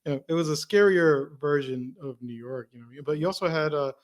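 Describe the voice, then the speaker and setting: Quick-talking chatty podcast host man. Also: a little high. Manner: chatty, quick, repeats words. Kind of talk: casual interview questions.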